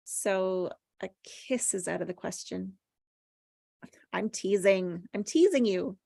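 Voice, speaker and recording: A woman's voice: medium pitch, slow pace, vocal fry, dark tone, no room sound, clean studio sound. A woman acting a sweet, playful young woman in love, earnest and teasing, at a table read.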